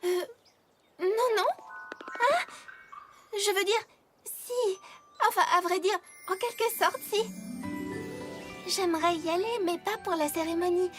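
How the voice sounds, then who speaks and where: A gentle female cartoon pony, speaks timidly and apologetically. Her voice is very high and sits over music. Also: takes it slowly, long pauses. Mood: timid, hesitant. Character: shy, gentle female pony who loves nature. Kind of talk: animated cartoon dub.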